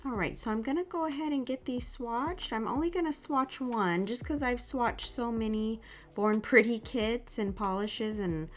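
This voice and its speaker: A woman hosting her own nail art channel, chatting casually through a product review, a little sick with an irritated throat, soft and gushing. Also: medium pitch.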